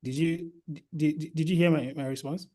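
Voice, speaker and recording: A man's voice: a little high, fairly even intonation, moderate pace, darkish tone. A man moderating a video call discussion who often repeats words as he hands over to speakers. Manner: hesitant, repeats words as he goes. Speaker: moderator of the focus group.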